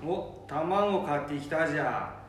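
Echoey room, slightly high male voice, old grandfather played by a man, Nambu dialect, chatty storyteller, amateur radio drama. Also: slow, fairly bright tone. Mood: chatty, recounting. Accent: Nambu dialect of northern Japan. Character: chatty old grandfather recounting village gossip. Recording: distant echoey room with faint noise.

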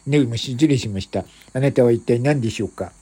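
A man's voice: medium pitch, quick pace, warm tone, slightly rough, natural voice. A man playing a tipsy, flustered, cheerful fellow who rambles and stammers over-politely in an improvised comic skit. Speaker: show host.

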